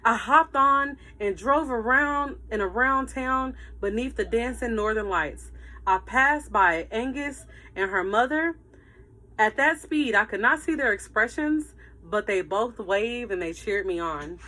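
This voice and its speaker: A woman teacher reading a story aloud to children in an animated, emphatic way, with asides to her listeners. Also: fairly high pitch, unhurried, poor audio.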